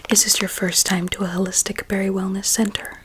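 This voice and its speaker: A woman playing a sinister, courteous doctor in an ASMR roleplay, mostly in a soft, breathy whisper with a measured, clinical manner. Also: medium pitch, level tone, background noise, natural voice. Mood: polite, businesslike. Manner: slow, measured, clinical and politely formal.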